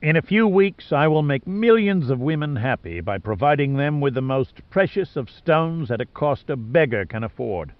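A man's voice: mid-range voice, lively intonation, normal speed, hardly any pauses, no room sound, dull audio, close to an everyday voice. A man voicing an arrogant, callous older male tycoon villain in an audiobook, speaking with cold, pompous scorn. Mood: smug contempt. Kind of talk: audiobook character dialogue.

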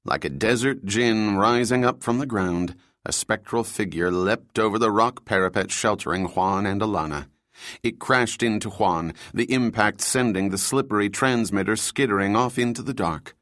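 A man narrating a thriller audiobook in a steady, even reading voice. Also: on the low side, normal speed, dry recording, studio quality.

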